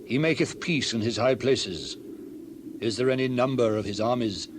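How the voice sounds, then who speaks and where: A man's voice: mid-range voice, normal speed, noisy background, close to an everyday voice. A man playing a stern, pious ancient counsellor in a dramatized scripture reading, declaiming rhetorically. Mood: stern, reverent.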